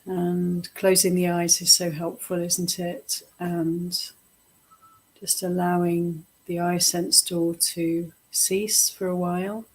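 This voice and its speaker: Husky, slow-paced woman guiding meditation. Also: lowish voice, level tone, low-quality recording. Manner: gentle, unhurried, pausing between short phrases. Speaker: meditation teacher leading a guided practice. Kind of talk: guided meditation, softly instructive.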